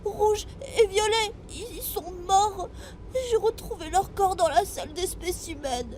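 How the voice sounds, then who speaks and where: A woman playing an excitable, wide-eyed seven-year-old boy in a French sci-fi audio drama. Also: very high voice, unhurried. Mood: frightened, pleading. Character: eager seven-year-old boy intern aboard a space crew.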